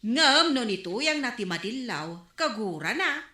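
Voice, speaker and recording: A woman's voice: a little high, big pitch swings, a little slow, sharp tone, echoey room, exaggerated voice. A woman acting a talkative neighborhood store owner who gossips about neighbors, in a radio drama. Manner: chatty, gossiping.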